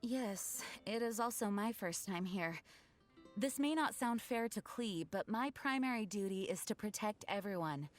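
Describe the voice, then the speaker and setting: A woman voicing a dutiful young female knight commander in an anime game, measured, formal and earnest. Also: a little high, close to an everyday voice.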